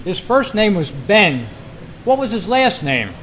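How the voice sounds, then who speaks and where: Male quizmaster, higher register, deliberate question-reading to a gathered club, noisy live meeting recording. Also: very animated, a bit bright. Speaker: quiz host at a club meeting. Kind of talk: reading trivia questions aloud to a live group.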